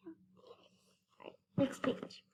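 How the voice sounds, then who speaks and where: A female reader doing a children's picture-book read-aloud, expressive, with short explanatory asides. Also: takes it slowly, in a whisper. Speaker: storybook reader.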